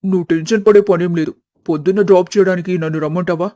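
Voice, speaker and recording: Man as a calm, mellow devoted friend. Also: sits high, fast talker, dry recording, studio quality, lightly acted. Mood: supportive. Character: kind, devoted young male friend, quietly lovelorn.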